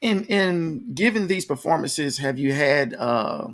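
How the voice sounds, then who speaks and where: A man's voice: fairly high pitch, animated, slow, fairly bright tone. A man hosting a conversational interview, informally addressing his audience like an announcer. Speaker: interview host and promoter. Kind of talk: conversational radio-style interview.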